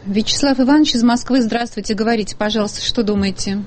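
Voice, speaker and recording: A woman hosting a call-in radio show, brisk, cutting in and gently scolding callers. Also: on the high side, slight background noise. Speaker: radio host.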